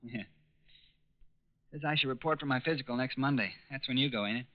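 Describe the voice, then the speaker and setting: An actor in a 1940s radio drama playing a naive, hesitant, good-natured teenage factory worker in a boyish, slangy way. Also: male voice, mid-range voice, a little fast, dry recording, natural voice. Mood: uneasy. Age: young adult. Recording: dull vintage radio recording.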